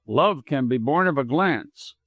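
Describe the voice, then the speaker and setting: A man voicing an earnest, lovestruck gentleman doctor in an audiobook, speaking with insistence. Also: mid-range voice, expressive, unhurried, no room sound. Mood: dogged, piqued. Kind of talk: dramatized audiobook reading.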